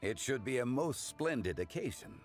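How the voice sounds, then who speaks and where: A man playing a composed, learned and courteous gentleman in a video game, speaking in a formal, measured, eloquent way. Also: mid-range voice, expressive, faint music behind, close to an everyday voice. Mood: calm, reflective. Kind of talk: video game character dialogue.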